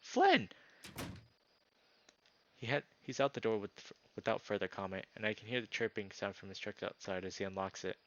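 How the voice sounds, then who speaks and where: A man narrating a visual novel aloud as a streamer, with informal, expressive asides. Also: fairly low pitch, quick pace, few pauses, lo-fi sound.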